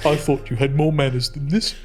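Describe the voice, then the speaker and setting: A man's voice: on the high side, steady tone, brisk, a little mellow, faint music behind. A man playing a tabletop game, improvising politely in character with a hesitant, slightly formal manner.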